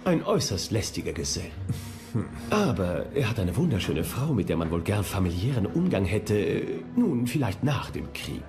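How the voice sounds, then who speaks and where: An actor playing a brash, boastful naval officer in a historical radio play, blunt and scornful. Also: male voice, fairly low pitch, sing-song, moderate pace, music in the background. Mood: sly. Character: swaggering, brash English naval officer and raider.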